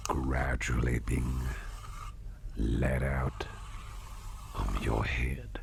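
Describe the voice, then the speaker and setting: Casting: male actor as gentle, reassuring boss for ASMR roleplay; breathy whisper, heavy vocal fry, drawn-out delivery, audible noise behind. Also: a bass voice, heavily acted. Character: kindly, attentive businessman boss soothing a star employee. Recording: clearly audible background noise.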